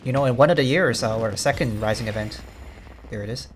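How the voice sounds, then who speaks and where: A man's voice: mid-range voice, fast, slight background noise. A man giving casual, rambling let's play commentary in an understated way, thinking aloud as he goes. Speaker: gaming video host. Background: music behind the voice.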